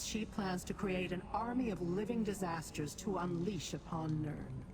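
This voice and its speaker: Haughty female demon sorceress, played by an actress, lowish voice, formal, condescending, calculating, fantasy game, noisy ambience. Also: natural voice. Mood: grave, explanatory. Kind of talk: video game dialogue.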